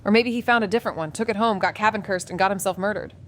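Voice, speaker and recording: A woman's voice: mid-range voice, rapid-fire, a bit bright, slight background noise, close to an everyday voice. An actress playing a skittish, wisecracking female amateur sleuth in a mystery audio drama, blunt, colloquial and sarcastic. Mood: alarmed, exasperated. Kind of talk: neo-noir audio drama dialogue and narration.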